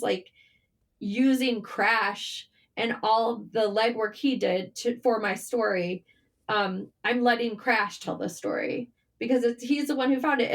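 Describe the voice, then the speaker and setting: A woman's voice: a little high, gravelly. A woman speaking as a podcast guest, a writer telling stories in a casual, conversational way and often acting out bits of remembered talk. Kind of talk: casual interview chat.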